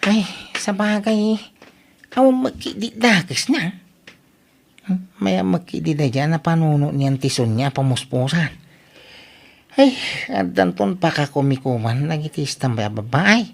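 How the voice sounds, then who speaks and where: Woman playing an excitable village gossip, skeptical, exclamatory, widely swinging pitch, Ilocano accent, comedy radio drama. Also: measured pace, creaky, heavily acted.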